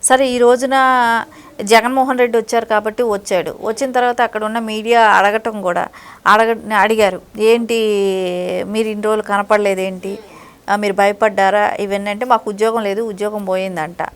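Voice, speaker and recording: A woman's voice: medium pitch, fairly even intonation, normal speed, smooth. A woman giving critical, opinionated political commentary as an interview guest, in long conversational explanations.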